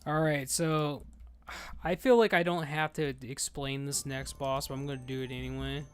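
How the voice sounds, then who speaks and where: A man in his thirties chatting casually while streaming a game with friends, loud and excitable and swearing freely. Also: medium pitch, moderate pace, smooth, faint music behind. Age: 30s. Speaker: streamer leading a game group. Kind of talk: casual live gameplay chat.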